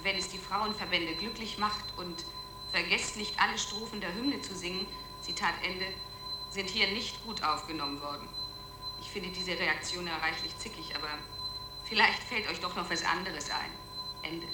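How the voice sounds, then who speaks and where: An actress playing a brisk ground control operator in a radio drama, relaying official messages over a space radio link. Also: female voice, fairly low pitch, over loud music. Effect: space radio transmission. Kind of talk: radio drama acting, formal radio transmission. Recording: noisy, echoing radio link.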